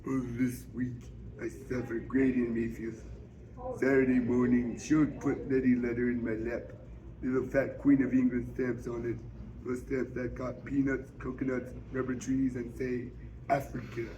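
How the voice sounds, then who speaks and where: A man's voice: medium pitch, level tone, moderate pace, highs cut off. A man reading a novel aloud, delivering first-person narration written in Southern dialect. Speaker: book reader. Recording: noisy public store ambience.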